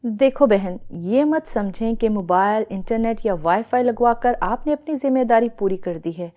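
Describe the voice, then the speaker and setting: Casting: actress as sensible neighbour mum, soft mellow tone, fairly high, reassuring and instructive, for a kids' tale. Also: female voice, lively intonation, natural voice. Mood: advising. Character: calm, advising older-sister neighbour, a sensible mother. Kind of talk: acted dialogue in a children's moral story.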